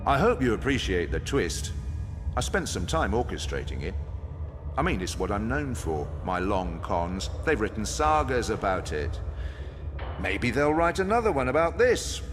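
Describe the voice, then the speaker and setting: An actor playing an ancient banished trickster god, a smug and sardonic game villain delivering theatrical monologues, savoring each word. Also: male voice, on the low side. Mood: gloating. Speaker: video game villain in story cutscenes.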